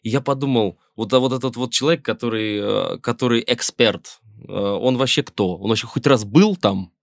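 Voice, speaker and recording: A man's voice: medium pitch, normal speed, creaky, no room sound. A middle-aged Russian man, a musician guest in a casual interview, talking wryly in rambling sentences with many fillers and restarts.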